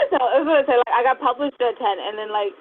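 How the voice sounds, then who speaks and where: A woman's voice: fairly high pitch, expressive, fast talker. A woman co-hosting and interviewing on a podcast, chatting casually in a joking, self-mocking way. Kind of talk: casual chat. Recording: noisy, tinny phone-like line.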